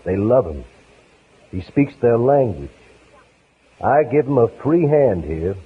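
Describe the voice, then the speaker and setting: An actor playing an approving, plain-spoken prison warden, speaking emphatically in 1950s radio acting style. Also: male voice, medium pitch, very animated, takes it slowly, slightly rough, full character voice. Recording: noisy, tinny 1950s radio broadcast.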